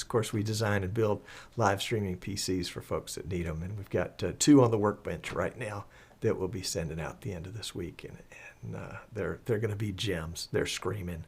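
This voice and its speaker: A man hosting a live show in a chatty, folksy, rambling and enthusiastic way, croaky as he recovers from the flu. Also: on the low side. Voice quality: slightly husky, croaky. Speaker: live stream show host.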